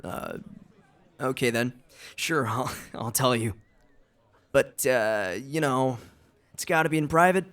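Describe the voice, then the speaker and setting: A man's voice: mid-range voice, slow, pauses often, a bit bright. A man playing an anxious, exasperated teenage schoolboy in a fan-dubbed drama, nervy and hesitant. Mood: nervous. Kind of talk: animated fandub drama acting.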